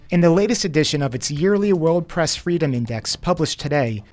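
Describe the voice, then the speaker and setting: A man presenting the news from a script in a measured, formal newsreader delivery. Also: on the high side.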